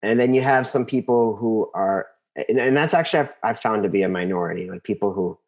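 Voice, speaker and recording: Earnest American man, halting storyteller. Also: lowish voice, a little mellow. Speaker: interview guest, composer and conductor. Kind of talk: casual personal storytelling in an interview.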